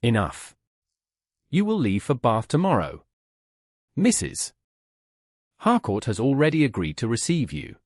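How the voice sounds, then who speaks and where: A man voicing a controlling older Regency gentleman in an audiobook, formal, condescending and commanding. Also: mid-range voice, huge pitch swings, a little slow, long pauses, dry recording, clean studio sound.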